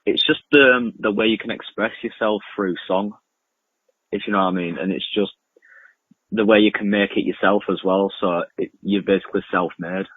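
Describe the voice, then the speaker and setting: A man's voice: on the low side, fairly even intonation, normal speed, slightly rough. A young man answering casually as a radio interview guest, hesitant and informal, often repeating himself. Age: young adult. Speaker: interview guest, amateur music producer and podcaster. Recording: muffled lo-fi, roomy echoing remote link.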